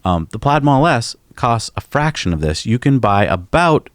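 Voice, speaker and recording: A man's voice: mid-range voice, sing-song, normal speed, dry recording, studio quality. A man co-hosting a podcast, explaining technical ideas with enthusiasm in casual chat. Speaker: podcast co-host.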